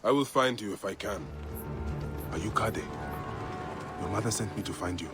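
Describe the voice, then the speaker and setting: An actor playing a brooding, vengeful Egyptian warrior in a video game, gravelly, grave and intense. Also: male voice, fairly low pitch, normal speed, over music, lightly acted. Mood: resolute. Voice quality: gravelly, husky. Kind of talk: dramatic video game acting.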